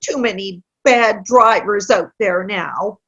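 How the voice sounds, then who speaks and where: A woman's voice: mid-range voice, fairly bright tone, husky, dull audio. A woman playing a querulous, sour old woman who complains about her pains in a comic play reading. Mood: defensive, fussy. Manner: querulous, slow, dramatic complaining. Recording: video call play reading.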